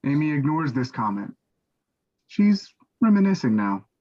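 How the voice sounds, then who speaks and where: A man's voice: fairly high pitch, big pitch swings, unhurried, mellow. A man reading scripted stage directions as the narrator of a staged play reading, on a lo-fi recording.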